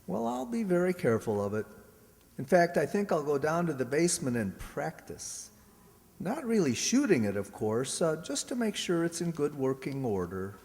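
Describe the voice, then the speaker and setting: Radio drama: male actor as scheming android double, suave, evasive, quietly menacing, lightly pitched, recorded in a reverberant hall. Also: big pitch swings, normal speed. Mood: smooth, casual. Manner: smooth, evasive, coolly menacing. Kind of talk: radio play acting. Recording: echoey hall, stage radio play.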